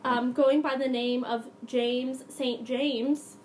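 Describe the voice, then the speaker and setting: A woman's voice: sits high, little pitch movement, takes it slowly, a little mellow, a little hiss or hum. A young adult woman hosting a podcast, telling a true crime story in a casual, conversational way.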